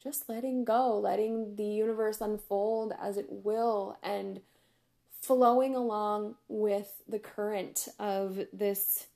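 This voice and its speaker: A woman hosting a casual solo podcast, chatty and upbeat with frequent laughs, her voice going hoarse. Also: medium pitch, darkish tone. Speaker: podcast host.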